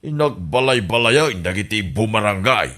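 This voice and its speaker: A man's voice: lowish voice, animated, normal speed, a bit bright. A man playing a scheming old husband who keeps chuckling at his own plans in a comedy radio drama. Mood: sly. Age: older. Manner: chuckles constantly, sly and boastful. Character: scheming old husband running for village captain.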